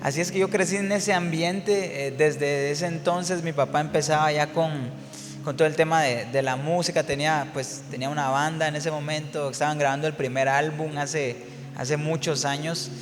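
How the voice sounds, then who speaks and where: A man from Guatemala, a worship singer telling his story in a relaxed interview, reflective and full of fillers and restarts. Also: on the high side, moderate pace, big-room sound.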